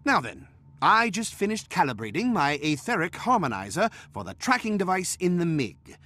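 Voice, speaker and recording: A man's voice: sits high, big pitch swings, normal speed, bright, forceful tone, heavily acted. A man voicing a pompous, exacting small gnomish inventor who leads a research team in a video game, speaking precisely and formally. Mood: officious, businesslike. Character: pompous, exacting male asura inventor leading a research krewe. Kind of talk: video game cutscene voice acting.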